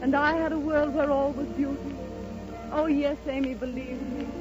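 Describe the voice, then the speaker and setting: A woman playing a serenely proud blind dreamer in a 1930s radio play. Her voice is very high and theatrical, with emotional, deliberate pauses. The old recording is dull, with music clearly behind her. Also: fairly even intonation, close to an everyday voice. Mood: tender, serene. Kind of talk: 1930s radio dramatic acting.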